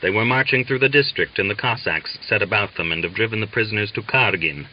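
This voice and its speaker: A man's voice: on the low side, fast talker, natural voice. A dull, lo-fi audiobook recording carries a hot-headed young revolutionary, acted out by a male narrator. He is impatient and snappish, goading and urging his listeners on. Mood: urgent. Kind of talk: dramatised audiobook dialogue.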